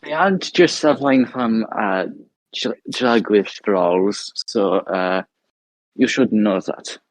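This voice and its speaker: A man's voice: fairly low pitch, no room sound, rough recording. A man stammering a little as a player in a tabletop game. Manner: halting, stammering. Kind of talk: casual chat at a tabletop game.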